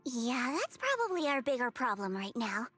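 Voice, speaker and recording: A confident, teasing young investigator from a game. Her high voice swings lively up and down as she needles her companions with knowing remarks. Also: normal speed, soft background music. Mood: brisk. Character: sly, teasing young woman investigator, self-assured.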